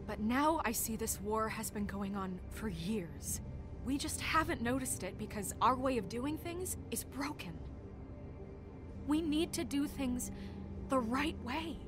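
A woman's voice: fairly high pitch, a little slow, close to an everyday voice. An actress playing a stern, principled young woman deputy mayor in a video game, speaking in a firm, earnest way. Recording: music behind the voice. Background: music.

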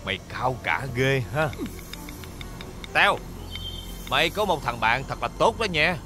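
A cruel young master from a wealthy house in a period drama. His southern Vietnamese speech is haughty and taunting, with drawn-out words and wide swings of pitch over a music bed. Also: very animated. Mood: mocking, demanding. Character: arrogant, cruel young rich master, sneering villain.

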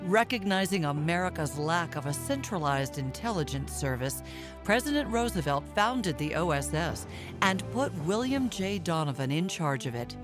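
A woman with a notably low voice narrates in a measured, formal voice-over. Clear music runs underneath her. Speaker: documentary narrator. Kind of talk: scripted documentary narration.

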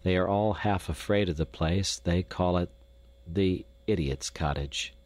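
A man's voice: deep voice, unhurried, a little mellow. A man voicing a poor young Dutch boy who pleads earnestly with a doctor. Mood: pleading.

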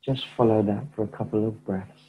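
A man guiding a slow meditation, speaking softly with long pauses between phrases. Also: on the low side, steady tone, muffled recording, slight background noise. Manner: slow, soft-spoken, pausing often. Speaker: meditation teacher. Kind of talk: guided meditation.

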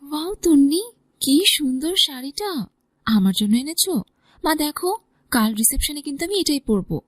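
A gentle young bride who gushes in a high voice, put on by a woman narrating a romance story. The sound is close and dry. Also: animated, moderate pace, no room sound. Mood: delighted. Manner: gushing.

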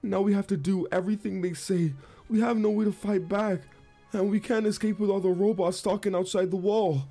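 A man's voice: sits high, fairly even intonation, brisk, vocal fry, warm tone. A man voicing a frightened, timid dog guard, nervous and hesitant, in a comic dub. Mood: resigned, worried. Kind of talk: amateur comic dub acting. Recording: music behind the voice.

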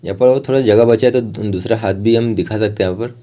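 A young man hosts an art tutorial in a lowish, very mellow voice. He talks through each step in a plain, explanatory way. Now and then he pauses mid-sentence to find the next word. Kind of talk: step-by-step instructional voice-over.

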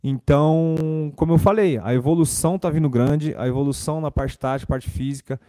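A young Brazilian footballer answering press questions casually at a desk microphone in a club press room, repeating words and using fillers. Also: male voice, on the high side, a little fast, warm tone.

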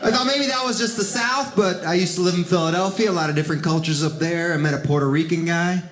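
A man in his thirties from Cuba, raised in Miami, doing a stand-up set on a theater stage with a handheld mic, switching into high, shouted character voices. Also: fast talker, a faint crowd. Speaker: stand-up comedian. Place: theater stage before a live audience. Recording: roomy theater with audience ambience.

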